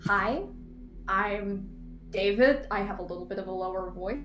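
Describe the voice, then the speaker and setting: Woman imitating a low-voiced man, lowered pitch, slow delivery, roomy echo. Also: music in the background, lightly acted. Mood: mock-serious. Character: friend's impression of a male player, lower voice. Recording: echoey room with noise.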